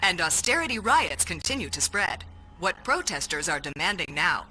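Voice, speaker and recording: A woman playing a radio news co-anchor, reading a scripted broadcast intro with crisp announcer delivery. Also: fairly low pitch, big pitch swings, moderate pace, pushed and bright, rough recording. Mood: polished.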